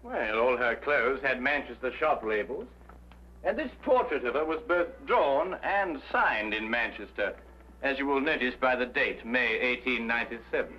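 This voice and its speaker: A man with an English accent playing a shrewd, urbane gentleman detective in a vintage drama, composed and courteous, on an old recording. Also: mid-range voice, expressive, normal speed, lightly acted. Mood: courteous, curious. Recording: dull, hissy old broadcast recording.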